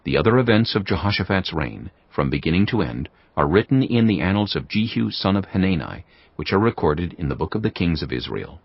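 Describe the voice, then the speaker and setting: A man narrating scripture in a measured, solemn, scripted reading for an audio Bible. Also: low voice, big pitch swings, gravelly, breathy edge, no room sound. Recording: dull lo-fi audio with faint music.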